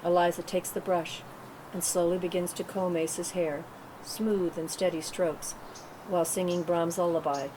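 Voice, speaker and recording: Low-voiced woman narrating, noisy room. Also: fairly even intonation, normal speed. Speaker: narrator of screenplay directions. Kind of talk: scripted narration at a table read.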